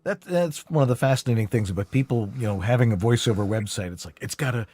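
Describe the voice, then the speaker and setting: A man's voice: mid-range voice, quick pace, a little mellow, no echo, studio quality. A man co-hosting a live online interview show, with breezy broadcast-style patter. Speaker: podcast co-host. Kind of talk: casual livestream interview.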